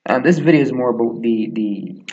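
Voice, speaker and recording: Gravelly Barbadian young man rambling. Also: medium pitch, animated, fairly quick, darkish tone, dull audio, a little hiss or hum. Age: 20s. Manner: meandering, filler-heavy, chuckling asides. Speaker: gaming vlogger. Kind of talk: rambling casual commentary while gaming. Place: small room at home, echoey.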